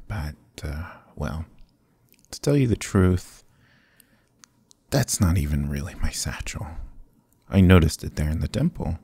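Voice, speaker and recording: Male actor as sly fantasy rogue for ASMR roleplay: extremely low, gravelly voice, glib courtly flattery, evasive pauses. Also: no room sound, full character voice. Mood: flustered, bluffing. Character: sly, charming adventurer thief, smooth-talking and flattering. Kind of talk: fantasy ASMR roleplay acting, close and soft.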